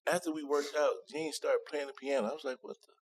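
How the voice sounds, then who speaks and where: A man's voice: a little high, steady tone, fast talker. A man chatting informally as a podcast guest, telling anecdotes and repeating phrases for emphasis. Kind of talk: casual chat, anecdotes.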